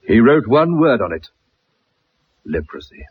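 A man's voice: medium pitch, very animated, unhurried, breathy edge, full character voice. An actor playing a stern, proud older colonel and protective father, grave and emphatic, in a 1960s radio play. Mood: grudging. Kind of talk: 1960s radio drama acting. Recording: muffled, dull old radio recording.

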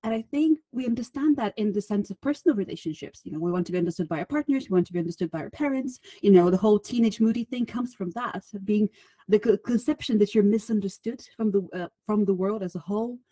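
A woman's voice: mid-range voice, fast talker, dark tone, slightly rough, no echo. A woman from Bulgaria, a guest on a podcast, talking in a chatty, self-deprecating way with many restarts and fillers. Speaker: podcast guest, solutions engineer. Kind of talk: conversational interview.

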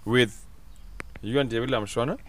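A man with a Zimbabwean accent co-hosting a casual podcast, animated, jumping in over his co-host and switching between English and Shona. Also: medium pitch, moderate pace, distant mic, slight background noise.

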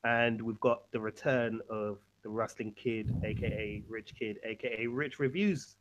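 Low-voiced podcast host with a cold. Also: male voice, fairly even intonation, normal speed, lo-fi sound. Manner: easygoing, many fillers. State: has a cold. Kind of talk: casual podcast chat.

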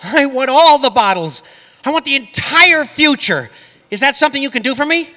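Very high-voiced greedy, pushy tycoon. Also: male voice, lively intonation, normal speed, pushed and bright, exaggerated voice. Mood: eager, greedy. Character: greedy, pushy, eager rich buyer. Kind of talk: comic audio theatre acting before a live audience. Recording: long hall reverb on stage.

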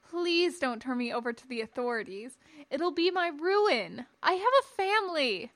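A woman's voice: fairly high pitch, very animated, slow pace, bright, forceful tone, no room sound, exaggerated voice. A woman voicing a thin, timid male humanoid, a nervous and pleading cringer in a story reading. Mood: terrified, pleading.

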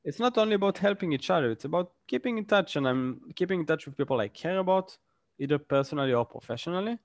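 A man's voice: on the high side, expressive, quick pace, dry recording. A man, a guest in a casual interview, explains his points with examples and often checks in with "right?".

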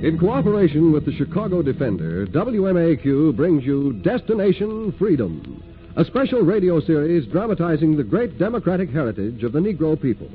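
A man's voice: on the high side, sing-song, fairly quick, soft background music. A man announcing formally and declamatorily, reading scripted narration in the style of 1940s radio. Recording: muffled, hissy vintage radio recording.

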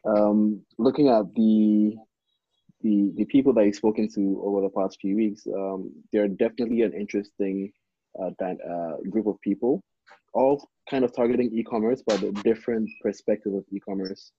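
Male podcast guest, deep and husky, measured with hesitant phrasing, dull close-up lo-fi sound. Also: no echo. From Ghana. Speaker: podcast guest, startup CTO. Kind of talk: conversational self-introduction. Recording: dull lo-fi, dry recording.

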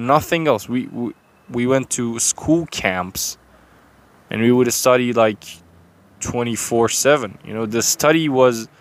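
A young man with a Persian accent, talking unscripted to a phone camera in a rambling, halting way full of fillers and restarts. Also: medium pitch. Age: young adult. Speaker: vlogger.

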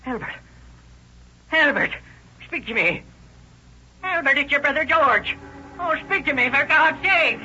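An actor playing an excitable, folksy old rancher who stammers, in 1930s radio drama style. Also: male voice, very high voice, unhurried, pauses often, a little hiss or hum, full character voice. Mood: anguished pleading. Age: older. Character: frightened, indignant old male rancher. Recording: muffled vintage radio recording.

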